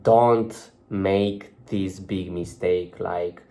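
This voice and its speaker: Low-voiced man, chatty non-native English. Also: fairly even intonation, extremely slow, warm tone. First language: not English. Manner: loose and chatty, many fillers and restarts. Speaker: vlogger giving social advice. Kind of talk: casual talk to camera.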